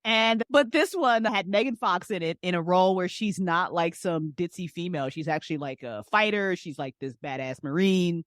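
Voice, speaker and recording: A woman's voice: on the low side, normal speed, few pauses, no room sound, clean studio sound. A woman in her forties chatting casually as a podcast guest, talking in an informal, slangy, emphatic way. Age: 40s. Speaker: podcast guest, audio drama creator. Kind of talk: casual chat.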